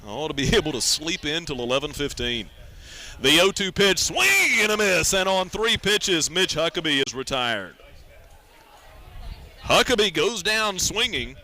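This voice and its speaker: A man calls a baseball game for radio in a very bright, forward voice. His pitch sits a bit high, and he describes the play in a conversational way with easy asides. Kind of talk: live baseball radio commentary.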